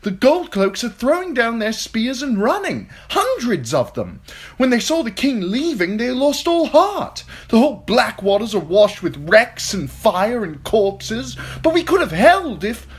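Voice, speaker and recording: A man voicing a wounded young knight who shouts and pleads in a frantic rush. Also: very high voice, big pitch swings, a little slow, slightly rough, pitched above the performer's own voice, exaggerated voice. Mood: panicked.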